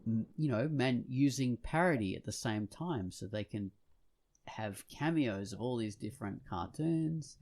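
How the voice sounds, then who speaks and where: Man with a noticeably creaky voice. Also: mid-range voice, animated, a little slow, a little mellow. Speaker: podcast co-host. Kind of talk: casual, rambling panel chat.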